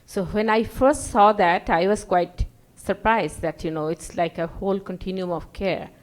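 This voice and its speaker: A woman's voice: medium pitch, moderate pace, low-quality recording. A woman from Bangladesh with a Bangladeshi accent, an NGO worker giving an example from the floor of a conference. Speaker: NGO worker, audience speaker.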